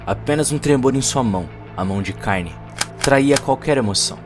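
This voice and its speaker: A man's voice: medium pitch, lively intonation, a little fast. A man with a Brazilian accent narrating a cyberpunk short story as a scripted, dramatic audio production. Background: faint music.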